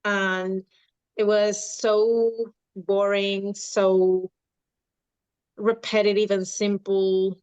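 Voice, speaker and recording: A woman in her thirties from Venezuela speaks in an unhurried, halting way, often restarting her sentences. Her voice is smooth and clear. It is recorded dry, with a slightly dull tone. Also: mid-range voice, level tone, a little mellow, dry recording. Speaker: dietitian and nutrition coach. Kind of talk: podcast interview.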